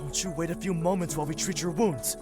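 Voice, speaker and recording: A man's voice: fairly high pitch, fast, music in the background, pitched above the performer's own voice, close to an everyday voice. A man voicing a deferential nonhuman ninja underling, anxious to help, in a fantasy audiobook. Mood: concerned. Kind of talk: fantasy audiobook character acting.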